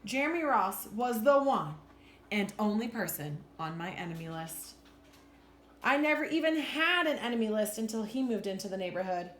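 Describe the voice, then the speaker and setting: A woman reading a children's storybook aloud in an expressive, deliberate storytelling manner. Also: mid-range voice. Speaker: teacher reading a picture book aloud. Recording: roomy echo with faint background noise.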